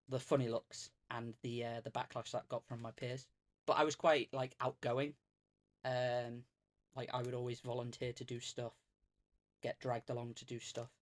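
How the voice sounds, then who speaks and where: A man, chatting casually as a guest, rambling and self-deprecating with lots of fillers and restarts. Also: medium pitch. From Greater Manchester, England. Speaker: streamer guest. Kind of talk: casual podcast chat.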